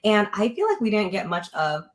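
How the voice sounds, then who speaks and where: A woman's voice: medium pitch, big pitch swings, a little fast. A woman with a mid-range voice talks casually as a book club guest. She is on a video call and speaks in an informal, conversational style. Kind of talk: casual book discussion chat. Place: indoor room with bare walls, video call.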